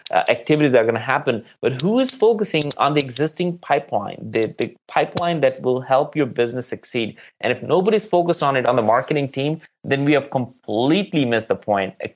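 Emphatic man explaining business advice. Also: medium pitch. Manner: emphatic, repeats words for stress. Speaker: podcast guest, marketing expert.

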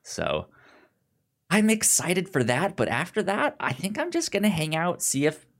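A casual podcast co-host with a mid-pitched voice talks about films at an unhurried pace. The intonation swings freely, and the phrasing is halting, with repeated words and restarts. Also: wildly swooping pitch, a bit bright. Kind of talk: casual film discussion podcast.

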